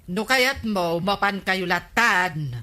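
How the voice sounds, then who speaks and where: Nagging village captain's wife, Ilocano accent, slow and forceful, comic radio drama. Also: female voice, mid-range voice, animated. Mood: exasperated. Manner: scolding, drawn-out complaining. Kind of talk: comedic radio drama acting.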